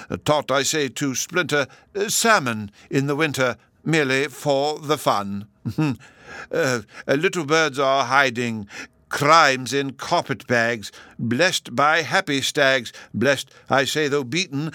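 A man's voice: medium pitch, bright, forceful tone, no echo, voice pushed up. A man voicing a befuddled, kindly old professor in a storybook, with a hesitant, singsong delivery. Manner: dithering, hesitant, singsong and theatrical.

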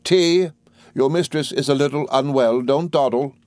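Man voicing an imperious older English aunt, pitched up. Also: animated, a little slow, darkish tone, no room sound, close to an everyday voice. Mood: indignant, bossy. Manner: emphatic, commanding, indignant. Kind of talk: audiobook character voice.

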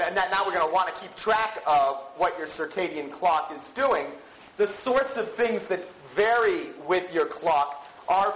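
A man lectures to a class in a fairly high voice. He explains conversationally and often breaks off to restart and correct himself. The room is echoey, with slight background noise. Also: fairly bright tone. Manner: halting, rambling, frequent false starts and self-corrections. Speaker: university psychology lecturer.

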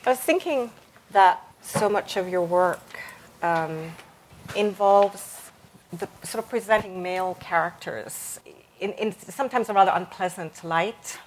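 A woman's voice: mid-range voice, unhurried, a little hiss or hum, low-quality recording. A woman from the West Indies interviewing a writer in conversation, building long, tentative questions with a self-deprecating manner. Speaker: interviewer, fellow novelist.

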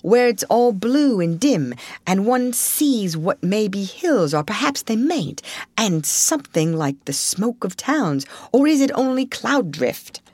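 A woman's voice: medium pitch, very animated, measured pace, a little mellow, dry recording, pitched above the performer's own voice. A woman voicing a shy, polite, curious male mole in a storybook, speaking in a timid, questioning way. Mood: wistful, curious. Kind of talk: storybook character reading.

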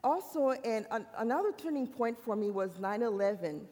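A woman from Haiti giving a personal faith testimony at a university worship service, earnest and halting, often restarting her sentences. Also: on the high side, lively intonation, dark tone. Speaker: worship speaker. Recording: long hall reverb.

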